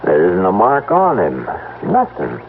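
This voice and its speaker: A plain-spoken farm man in a radio play. The voice is gravelly and swings widely. The sound is narrow, like an old broadcast. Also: low voice, huge pitch swings, unhurried, heavily acted. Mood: horrified. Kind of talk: 1970s radio drama acting. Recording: tinny old radio, faint noise.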